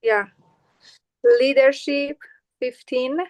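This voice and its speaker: A woman on a video call, sharing her answers hesitantly with many pauses. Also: high voice, steady tone. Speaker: workshop participant.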